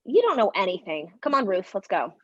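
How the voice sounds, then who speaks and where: Woman playing lively, studious, impatient teen girl. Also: mid-range voice, a little fast. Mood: prickly. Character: studious, proud, impatient fourteen-year-old girl, high achiever. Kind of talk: staged play reading. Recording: dull lo-fi recording.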